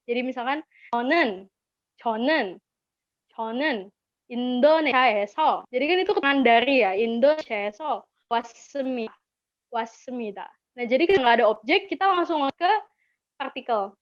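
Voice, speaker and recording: A woman's voice: on the high side, lively intonation, rapid-fire, long pauses, no room sound. A woman with an Indonesian accent giving an informal language lesson, chatty and encouraging, repeating key words for learners. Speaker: webinar speaker teaching languages. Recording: dull lo-fi webinar audio.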